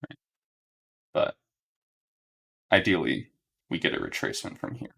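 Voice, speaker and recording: A young man talks through his trades live for his chat, unhurried and monotone. His voice sits very low and carries a gravelly fry. He thinks aloud at a desk mic and checks in with a steady "right?". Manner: monotone, relaxed, low-key. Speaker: day trader streaming live. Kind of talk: live trading commentary to chat.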